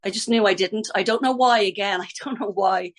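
A woman's voice: mid-range voice, fairly quick. A middle-aged Irish woman, a ballet teacher, chatting in a podcast interview, talking freely, restarting sentences and laughing easily. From Ireland.

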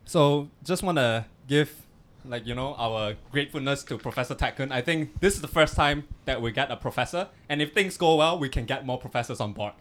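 A man's voice: mid-range voice, a little fast. A young man co-hosts a casual podcast with upbeat, loose energy. He talks his way through introductions and questions, leaning on fillers like "you know" and "like". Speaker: podcast co-host.

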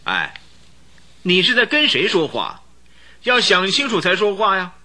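An actor playing an arrogant aristocratic count who secretly leads a gang, sneering and scornful in a radio drama. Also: male voice, high voice, huge pitch swings, measured pace, bright, forceful tone, full character voice.

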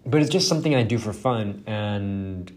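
A man's voice: on the low side, expressive, normal speed, darkish tone. A young man whose first language is Spanish, hosting a casual solo podcast, rambling and self-deprecating with lots of fillers and swearing. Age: young adult. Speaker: podcast host.

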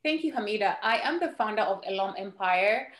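A woman from Ghana, a native Ewe speaker, talking as a guest on a podcast. Her mid-pitched voice has an earnest tone and small restarts. The room around her sounds echoey. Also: expressive, fairly quick. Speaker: guest entrepreneur. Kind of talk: podcast interview storytelling.

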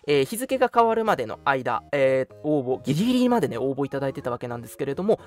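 A man's voice: mid-range voice, very animated, quick pace, darkish tone. Courteous young male radio host over music. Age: young adult. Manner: courteous, earnest, conversational. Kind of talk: polite online radio announcements.

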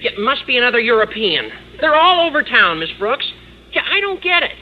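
A man acting an excitable, gawky teenage schoolboy in old-time radio comedy, exuberant and exaggerated. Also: extremely high pitch, fairly quick, a little hiss or hum. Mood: indignant. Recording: muffled old radio recording, audience.

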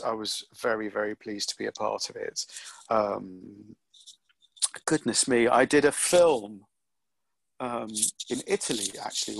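A middle-aged man talks through his career in an interview, pausing on ums and circling back to finish his thoughts. His voice has a gravelly edge, and the recording is close and dry with no room sound. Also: fairly low pitch, a bit bright, no echo, rough recording. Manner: reflective, with many ums and restarts. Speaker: actor being interviewed. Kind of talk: relaxed interview answers.

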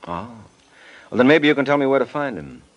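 An actor playing a suave, wisecracking private detective in an old radio drama, dry and nonchalant. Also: male voice, mid-range voice, expressive, a little fast, lo-fi sound, close to an everyday voice. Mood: teasing, dry.

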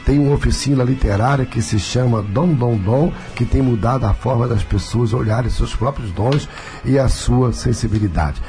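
Carioca man, radio host and pastor, mellow tone, chatty, faint music underneath. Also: medium pitch. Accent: Carioca Brazilian Portuguese. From Rio de Janeiro, Brazil. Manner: talkative, peppers sentences with tag questions. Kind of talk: chatty radio talk show hosting.

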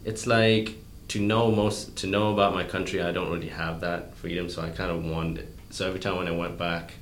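A man talking in a relaxed, thoughtful way, often trailing off. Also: low voice, brisk, reverberant, a little hiss or hum. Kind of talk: casual chat.

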